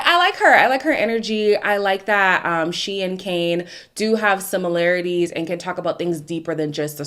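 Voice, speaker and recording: A woman's voice: mid-range voice, a bit bright. A young woman from Canada, whose first language is Ndebele, chatting through a casual recap of a dating show with strong opinions. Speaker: recap host.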